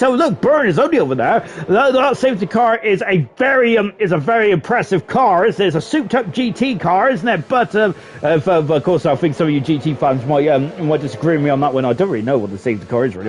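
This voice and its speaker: A man giving live sim racing commentary in a chatty, excitable way, often ending sentences with tag questions. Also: fairly high pitch. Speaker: esports race commentator.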